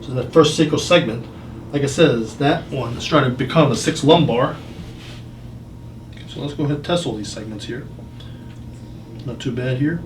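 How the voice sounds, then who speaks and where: A man's voice: mid-range voice, moderate pace, pauses often. A man working as a chiropractor, talking a patient through an exam with short questions and explanations. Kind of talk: clinical consultation and hands-on examination talk. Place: indoor chiropractic clinic. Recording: roomy, noisy clinic, distant mic.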